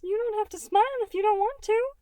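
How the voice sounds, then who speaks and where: A woman voicing a small, cheerful gelatinous creature from a cartoon, childlike and squeaky, speaking in an eager, reassuring way with drawn-out words. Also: dark tone. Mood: gentle, encouraging. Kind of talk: animated cartoon character in fan fiction reading.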